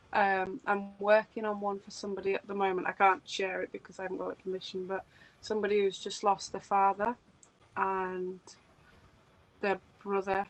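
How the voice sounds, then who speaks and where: Woman guest, hesitant casual chat, flat even pitch. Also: low-quality recording. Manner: hesitant, many ums. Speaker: guest artist.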